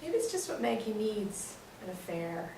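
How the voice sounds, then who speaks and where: A woman's voice: mid-range voice, sing-song, moderate pace, gravelly, mellow. A woman playing a breezy, well-meaning but easily put-out visitor in a live stage play reading. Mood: offhand. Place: echoey indoor stage or hall. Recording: echoey room, distant mic, faint noise.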